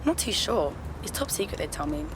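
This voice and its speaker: A woman's voice: low voice, sing-song, a little fast, a bit bright. An actress playing a breezy, flirtatious film crew woman in a comedy radio play. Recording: noisy outdoor street with traffic.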